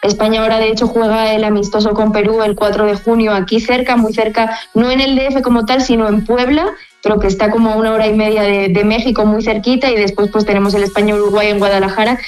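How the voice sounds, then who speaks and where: A woman's voice: mid-range voice, level tone, fast, a little mellow, faint music behind. A woman sports journalist from Spain whose Spanish accent has a Mexican tinge, chatting casually with many fillers and restarts. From Spain (Gijón). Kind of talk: casual phone-in chat.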